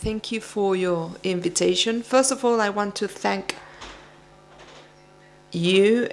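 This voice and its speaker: A woman from Peru speaking slowly and deliberately at a conference. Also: medium pitch, slight background noise. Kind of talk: conference speech.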